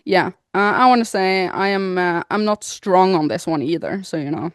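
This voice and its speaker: A woman's voice: on the low side, moderate pace, smooth, dry recording, clean studio sound. A young adult woman from Norway with a Norwegian accent, whose first language is Norwegian, co-hosting a casual podcast in a bubbly, teasing way. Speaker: podcast co-host. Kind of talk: casual chat.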